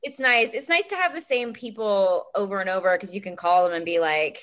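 A woman in her thirties, a filmmaker guest chatting casually in an interview, talking in a quick, youthful way. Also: medium pitch, fairly bright tone. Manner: quick, chatty, self-described teenage way of talking.

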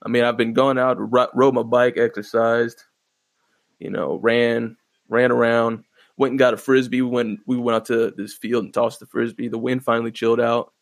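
A man hosting his own podcast, rambling in a laid-back, profane way with a fairly monotone voice and lots of fillers. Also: mid-range voice, normal speed, dry recording. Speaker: podcast host. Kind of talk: casual solo podcast commentary. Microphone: close podcast microphone.

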